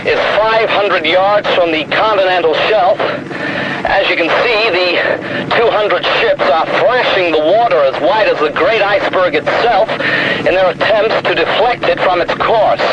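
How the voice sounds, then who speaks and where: High-voiced, earnest male news reporter. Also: unhurried. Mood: tense, urgent. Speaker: broadcast reporter. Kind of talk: dramatised live news commentary. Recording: dull radio sound over loud vehicle noise.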